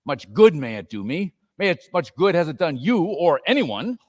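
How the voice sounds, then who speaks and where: A man's voice: fairly high pitch, big pitch swings, normal speed, darkish tone, pitched above the performer's own voice. A man playing a grumpy, tight-fisted old miser in a storybook reading, curt, scornful and grumbling. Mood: bitter, dismissive. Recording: reverberant hall, live reading.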